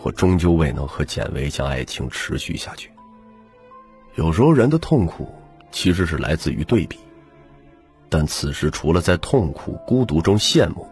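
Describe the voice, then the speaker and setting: A man with a husky voice narrating a novel in dramatic first-person storytelling as an audiobook reader. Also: very deep voice, sing-song, moderate pace, darkish tone. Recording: music bed under the narration. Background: background music.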